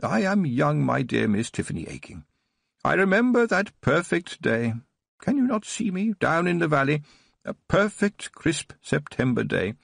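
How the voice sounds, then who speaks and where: A man voicing a frail elderly aristocrat who rambles through tearful, nostalgic memories in a husky, trembling voice. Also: medium pitch, big pitch swings, measured pace, dry recording, heavily acted. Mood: wistful, joyful. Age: older. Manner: trembling, wistful, rambling recollection.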